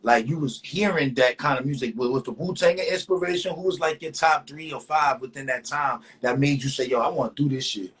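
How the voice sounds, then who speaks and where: A man hosting a casual video-call interview, chatty and full of quick affirmations. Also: mid-range voice, a bit bright, slightly rough. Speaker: interview host. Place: indoor room, home studio. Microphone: video call camera.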